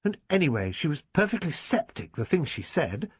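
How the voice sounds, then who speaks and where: A man's voice: fairly high pitch, sing-song, moderate pace, husky, dry recording, voice pushed up, exaggerated voice. A man reading aloud and voicing Dinah, a spirited young woman who is defiant and dramatic, in a raised, emphatic voice. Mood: disgusted.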